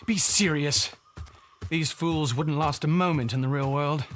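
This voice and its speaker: An actor playing an indignant, suspicious British banker in an audio drama, talking in a theatrical, exclaiming way. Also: male voice, fairly high pitch, unhurried, slight background noise. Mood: scornful. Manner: theatrical, muttering to himself, exclaiming. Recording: loud music behind the voice. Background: music.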